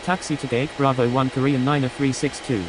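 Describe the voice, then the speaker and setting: A woman's voice: extremely low pitch, little pitch movement, fairly quick, noisy background. A woman voicing a businesslike airline pilot in a flight game, making scripted radio calls.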